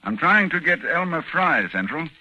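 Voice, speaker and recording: An actor playing a grave, urgent scholar in a 1940s radio horror drama, with halting dramatic delivery. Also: male voice, on the high side, big pitch swings, pushed and bright, muffled recording, exaggerated voice. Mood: anxious. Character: urgent, learned male scholar battling an unseen monster. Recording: vintage 1940s radio broadcast recording.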